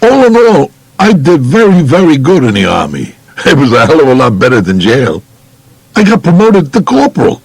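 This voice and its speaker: A man's voice: fairly high pitch, huge pitch swings, moderate pace, creaky, darkish tone, husky, dry recording, heavily acted, rough recording. An actor voicing a blunt, unrepentant mobster with a New York accent, recounting his life in slangy audiobook memoir. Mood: matter-of-fact. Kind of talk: first-person audiobook memoir, colloquial.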